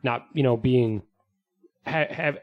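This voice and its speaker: A man's voice: mid-range voice, slow pace, muffled recording. A man co-hosting a casual podcast, informal and loosely worded, restarting his explanations as he goes. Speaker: podcast co-host. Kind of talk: casual panel discussion. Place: studio.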